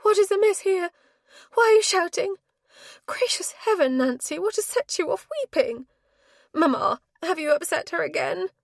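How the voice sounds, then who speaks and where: A woman voicing a young, dazed widow who speaks softly in a genteel, apologetic way. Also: high-pitched, normal speed, dry recording, studio quality, pitched above the performer's own voice. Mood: alarmed.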